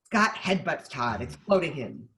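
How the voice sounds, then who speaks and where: A narrator reading stage directions in an animated way for a screenplay table read. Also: medium pitch, a little slow.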